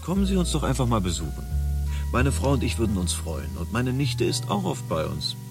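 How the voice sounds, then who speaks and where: A man's voice: mid-range voice, huge pitch swings, brisk, gravelly, music in the background. An actor playing a friendly male neighbor with a plain, inviting manner in a radio play.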